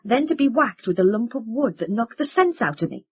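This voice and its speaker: A woman reading an audiobook, voicing a bitter young man who lashes out at his family with loud, sarcastic accusations. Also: mid-range voice, big pitch swings, normal speed, slightly rough, lo-fi sound. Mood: furious.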